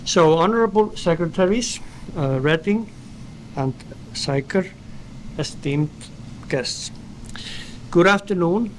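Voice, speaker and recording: A middle-aged man from Iceland with an Icelandic accent, a company founder reading a prepared speech in a formal, measured way at an indoor event. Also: high voice, lively intonation, slight background noise, low-quality recording. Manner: formal, measured delivery read from paper. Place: indoor event at a dairy plant.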